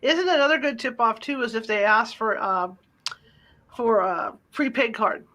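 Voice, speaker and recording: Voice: mid-range voice, animated, unhurried, sharp tone. An interview host asking questions casually, with hesitant restarts.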